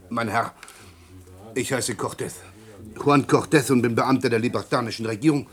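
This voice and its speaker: A man's voice: medium pitch, fairly even intonation, unhurried, crowd noise, natural voice. A man acts a pompous civil servant in a radio drama. His middling voice is formal and officious, placating yet hesitant in its stiff politeness. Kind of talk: 1960s German radio play acting.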